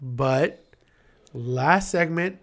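A man's voice: mid-range voice, fairly bright tone. A young man filling in as podcast co-host, easygoing and playful in casual chat. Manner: easygoing, playful, drawn-out delivery.

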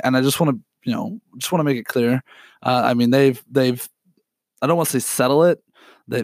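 A young man hosting a podcast alone, sick and hoarse, talking in an apologetic, rambling way with many fillers and throat clearing. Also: mid-range voice, no echo, studio quality. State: sick with a raspy, cracking voice. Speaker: podcast host. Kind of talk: unedited solo podcast update.

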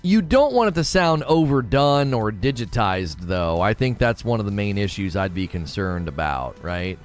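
A man's voice: on the low side, animated, normal speed, faint music behind. A man hosting a casual livestream, reading out chat messages and rambling with frequent restarts. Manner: rambling, restarts often, self-corrects. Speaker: livestream host.

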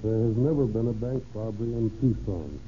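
A man's voice: lowish voice, fairly quick, dark tone. A middle-aged man, a police chief, reading a formal scripted speech on 1930s radio, stiffly and with small slips. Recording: muffled, noisy 1930s radio recording.

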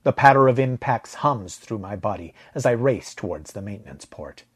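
Male narrator for sci-fi audiobook: low husky voice, measured and dramatic, very dry recording. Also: expressive, no echo. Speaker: fiction narrator. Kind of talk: first-person audiobook narration of a science fiction story.

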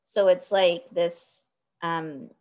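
A woman's voice: on the low side, steady tone, extremely slow, a little mellow. 30s woman from texas, academic guest, thoughtful, um-heavy, laughs a bit. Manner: thoughtful, lots of ums, laughs easily. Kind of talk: interview answers.

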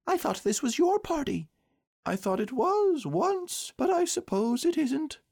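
A man voicing a mild, humble little storybook bear with a slow, simple way of talking. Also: very high-pitched, very animated, darkish tone, voice pushed up, heavily acted. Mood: doleful. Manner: doleful, simple, drawn-out.

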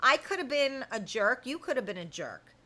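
A woman's voice: high-pitched, very animated, normal speed, bright, forceful tone. A woman in her fifties hosting a casual live chat, talking to viewers in a candid, blunt, colloquial way. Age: 50s. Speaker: host of a live chat show.

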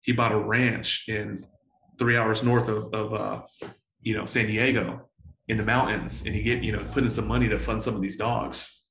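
A man in his forties telling stories in a casual interview, full of slang and swearing, excitable and often repeating himself. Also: on the low side, normal speed, creaky, reverberant, a little hiss or hum, poor audio. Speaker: entrepreneur guest.

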